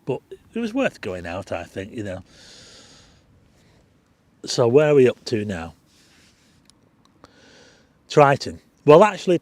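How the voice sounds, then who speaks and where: A Northern English man hosting a live stargazing stream outdoors at night, chatting casually, reading out viewer names for a quiz, his voice a little croaky. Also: mid-range voice, darkish tone, no room sound. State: slightly croaky. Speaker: astronomy livestream host.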